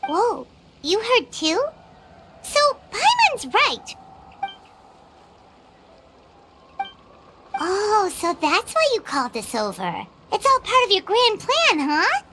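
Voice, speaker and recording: A woman voicing a tiny, excitable, cheeky fairy companion with a squeaky childlike voice in an anime game. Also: takes it slowly, background noise, full character voice. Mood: surprised, eager. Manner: exclamatory, refers to herself in third person. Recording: game music behind the voice.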